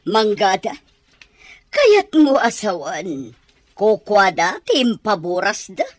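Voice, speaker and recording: A woman's voice: mid-range voice, sing-song, slow, dry recording. A woman playing an inquisitive, skeptical village matron with an Ilocano accent in a radio comedy.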